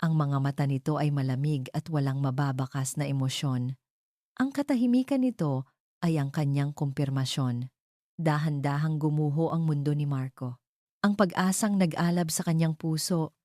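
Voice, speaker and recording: A woman narrating a scripted love story in a deliberate, melodramatic way. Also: deep voice, warm tone, no echo, clean studio sound.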